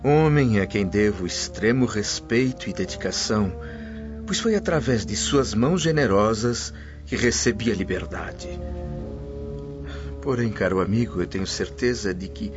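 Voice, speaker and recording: An actor with a Brazilian accent playing a humble, earnest freed Roman slave in a radio drama. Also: male voice, on the low side, lightly acted. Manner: formal, earnest. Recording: dull lo-fi audio under loud music. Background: music.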